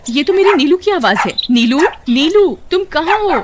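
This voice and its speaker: A woman's voice: high voice, expressive, normal speed, noisy background, voice pushed up. A woman voicing a melodramatic village wife who dotes on her pet dog in a cartoon. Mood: excited, relieved. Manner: gushing, wailing, melodramatic. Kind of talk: Hindi cartoon voice acting.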